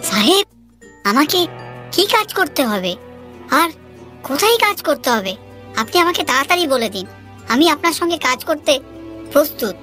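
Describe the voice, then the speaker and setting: A woman's voice: high voice, big pitch swings, normal speed, pauses often, sharp tone, pitched above the performer's own voice, heavily acted. A woman voicing a humble, honest, poor village labourer who speaks politely and deferentially in a story cartoon, over music. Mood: earnest, eager. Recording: music behind the voice.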